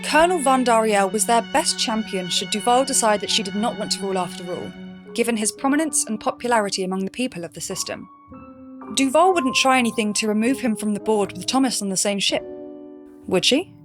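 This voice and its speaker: A woman playing a measured historian, narrating an audio drama in an explanatory documentary style. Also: on the high side, expressive, music in the background, natural voice. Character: measured female historian recounting a future war.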